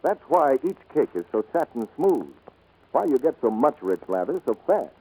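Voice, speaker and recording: A man's voice: medium pitch, big pitch swings, normal speed, warm tone, breathy edge, rough recording. A man announcing and reading scripted sponsor messages in the style of 1940s radio. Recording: vintage 1940s radio recording.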